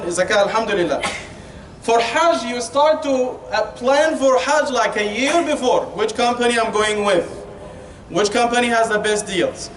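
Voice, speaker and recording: A man with a strikingly high voice and an Egyptian Arabic accent delivers a colloquial, joking sermon. He leans on rhetorical questions and conversational fillers. The sound is echoey and distant, with slight noise behind him. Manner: informal, colloquial, rhetorical questions, frequent "you know". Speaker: Islamic preacher giving a Ramadan talk. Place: indoor Islamic centre hall. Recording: echoey room, distant mic, faint noise.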